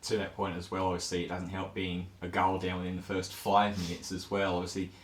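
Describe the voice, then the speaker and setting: A man's voice: low voice, completely flat delivery, brisk, roomy sound, a little hiss or hum. A man working as a sports interviewer, putting detailed post-match questions.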